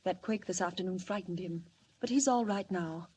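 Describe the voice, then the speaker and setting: A woman's voice: on the low side, normal speed, a little mellow, breathy edge, rough recording. An actress playing a devoted, anxious alien wife and mother in earnest 1940s radio melodrama. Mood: gentle, concerned. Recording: old 1940 radio recording, faint noise.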